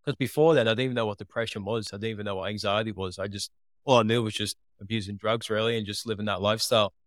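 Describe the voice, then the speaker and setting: A young man from Liverpool, now living in Australia, tells his story in a steady, earnest way. His voice is low and clear, with very little rise and fall, and it sits close and dry in the recording. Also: rapid-fire, dry recording. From England. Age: 20s. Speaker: podcast guest. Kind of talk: casual interview talk.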